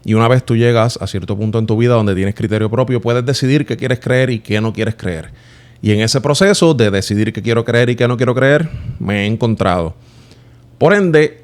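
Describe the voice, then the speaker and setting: Earnest Puerto Rican man reflecting on faith. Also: medium pitch. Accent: Puerto Rican Spanish. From Puerto Rico. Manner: earnest, explanatory, addresses viewer directly. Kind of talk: personal reflective monologue.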